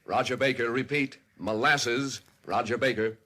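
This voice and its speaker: An actor playing a terse air defense operator in a 1950s radio drama, speaking in clipped, formal procedure. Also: male voice, medium pitch, steady tone, unhurried, sharp tone. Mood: terse, urgent. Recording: vintage 1950s radio recording.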